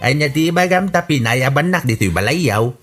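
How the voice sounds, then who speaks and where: A man's voice: mid-range voice, expressive, moderate pace, a bit bright, faint music behind, lightly acted. A man with an Ilocano accent playing a blunt village man in a radio drama. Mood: friendly. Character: blunt middle-aged village man Ramos.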